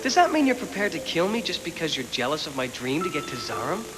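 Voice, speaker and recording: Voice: mid-range voice, big pitch swings, fast, natural voice. An actor voicing an ambitious but uncertain teenage boy in an anime dub. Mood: nervous. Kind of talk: dubbed anime voice acting. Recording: reverberant, music behind, old tape.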